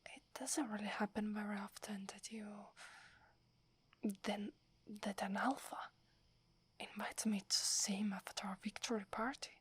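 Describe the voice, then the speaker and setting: Woman acting as young female werewolf, Italian accent, very breathy whisper, slow, shy, deferential, halting, ASMR roleplay. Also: medium pitch, steady tone, fairly bright tone. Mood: anxious, deferential. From Italy. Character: devoted, shy young female werewolf serving her alpha.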